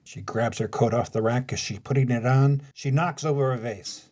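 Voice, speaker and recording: A man's voice: medium pitch, normal speed, very noisy. A man narrating an audio play, reading scripted stage directions.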